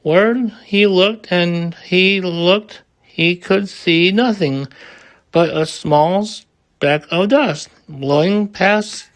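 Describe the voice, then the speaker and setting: A man reading a children's story aloud as expressive audiobook narration. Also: high-pitched, very slow. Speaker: storybook reader.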